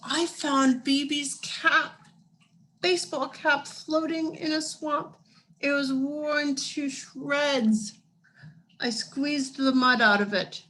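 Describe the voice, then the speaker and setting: Female storybook reader: solemn, expressive, deliberate, slow pace, slightly high voice. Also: slight background noise, low-quality recording. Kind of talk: expressive scripted children's story reading.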